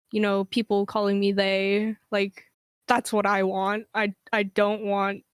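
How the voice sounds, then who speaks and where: Young person w a feminine voice, slow halting panel talk, dry close mic. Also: mid-range voice, clear, resonant tone, dry recording. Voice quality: feminine-sounding voice. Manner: hesitant, many fillers and restarts. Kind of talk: casual panel discussion.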